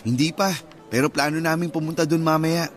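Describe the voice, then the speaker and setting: A man acting as a friendly nurse catching up with an old flame, in a Filipino accent. His voice sits on the higher side for a man, with light music underneath, in the manner of a radio drama. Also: fairly even intonation, brisk, lightly acted. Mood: friendly, relaxed. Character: friendly male nurse reuniting with old sweetheart.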